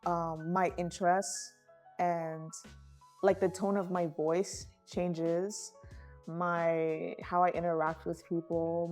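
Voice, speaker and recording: A woman's voice: fairly low pitch, darkish tone. A young woman from Vietnam with a mixed Vietnamese-American English accent, chatting casually and thinking aloud with fillers. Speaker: guest friend. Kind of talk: casual conversation.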